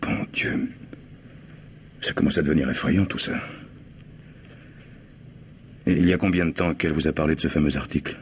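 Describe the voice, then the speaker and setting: A man's voice: very deep voice, steady tone, moderate pace, long pauses. An actor playing a stern, agitated middle-aged man secretly in love, earnest and emphatic, in a French radio play. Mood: grave, uneasy. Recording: noisy old radio drama recording.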